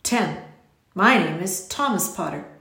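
A woman's voice: fairly low pitch, expressive, unhurried, creaky, pushed and bright, roomy sound, heavily acted. A woman voicing a blunt, suspicious ten-year-old boy in a storybook. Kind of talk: audiobook character voice.

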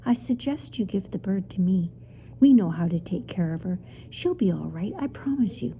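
A woman's voice: medium pitch, animated, normal speed, mellow, a little hiss or hum, close to an everyday voice. A woman voicing a tall, haughty, irritable male official in a children's storybook, stern and exasperated. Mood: calmer, grave. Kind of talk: children's storybook character reading.

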